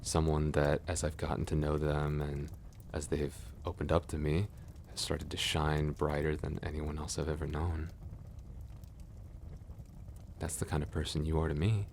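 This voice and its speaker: Man acting as very deep-voiced, tender boyfriend. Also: completely flat delivery, moderate pace, slight background noise. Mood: tender, earnest. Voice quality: very deep, creaky. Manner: soft, hesitant, tender and reassuring. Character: gentle, nervous best friend confessing love, adult man. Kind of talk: ASMR boyfriend roleplay.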